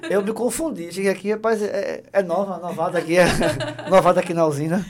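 A middle-aged man hosting a casual live podcast, speaking haltingly with many fillers and joking asides. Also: high-pitched, steady tone, crowd noise. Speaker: podcast host, insurance team leader.

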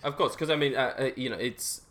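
A young man hosting a casual interview, easygoing and halting with plenty of fillers. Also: medium pitch, fairly bright tone. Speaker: podcast host.

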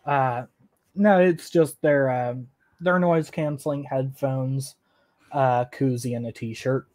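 A man's voice: mid-range voice, fairly even intonation, slow, a little mellow. Hesitant young male guest. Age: young adult. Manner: hesitant, filler-heavy, laughing at himself. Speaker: guest, engineering intern. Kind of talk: casual interview answers.

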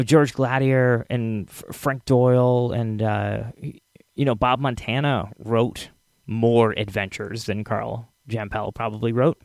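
A man hosting a podcast, talking casually to his listeners in a halting, conversational way with repeated words and self-interruptions. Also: mid-range voice, no echo, clean studio sound. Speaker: podcast host.

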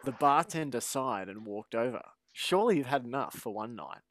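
A man's voice: mid-range voice, animated, moderate pace, fairly bright tone, no room sound. Man co-hosting a podcast. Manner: playful, laughs often. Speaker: podcast co-host. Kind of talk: podcast chat and reading aloud.

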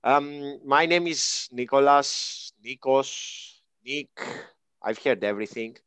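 Smooth-voiced Greek man in his twenties. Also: medium pitch, slow pace, no echo. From Greece. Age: 20s. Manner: relaxed, reflective, with fillers and laughter. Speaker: interview guest. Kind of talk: casual conversation.

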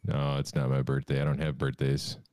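A man's voice: rumbling low, monotone, fairly quick, a little mellow, no echo. A man in his twenties co-hosting a podcast, teasing with dry humor in casual chat. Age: 20s. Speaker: podcast co-host.

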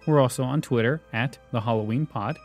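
A man's voice: medium pitch, fairly quick, faint music behind. A man hosting a podcast, talking casually and directly to his listeners. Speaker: podcast host.

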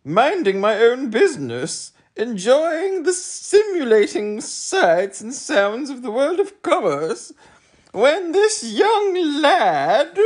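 A man voicing a nervous, overly polite gentleman bug who speaks in long, wordy phrases. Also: very high voice, wildly swooping pitch, takes it slowly, voice pushed up, heavily acted. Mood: nervous, pleading. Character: fussy genteel male humbug bug.

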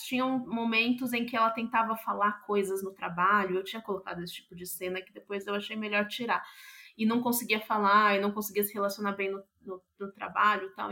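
A woman with a Brazilian accent gives a reflective interview as a playwright. Her voice sits at a middle pitch. She is thoughtful, feels for the right word and often lets phrases trail away. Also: bright, forceful tone.

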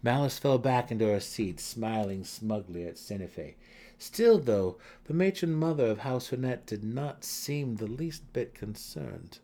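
Slow, creaky male dramatic narrator. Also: mid-range voice, animated, dark tone. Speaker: audiobook narrator. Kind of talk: dramatic scripted fantasy narration.

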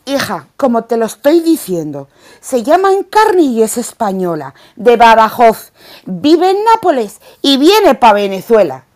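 A woman's voice: fairly high pitch, big pitch swings, measured pace, a little mellow. A woman playing a bossy, protective stepmother in a radio soap opera. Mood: stern, urgent. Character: bossy, protective Spanish stepmother guarding family honour.

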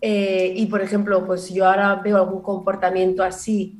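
Lively Barcelona-accented woman. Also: medium pitch, normal speed. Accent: Barcelona Spanish. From born in Granada, raised in Barcelona. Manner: lively storyteller, laughs often, many restarts. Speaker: comedy writer and performer, guest. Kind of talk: casual interview conversation.

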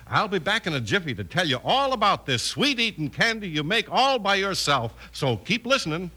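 A man's voice: sits high, big pitch swings, normal speed, pushed and bright, slight background noise. A man with an American accent announcing an old-time radio show in an emphatic, salesmanlike scripted style. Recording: old radio recording with music behind.

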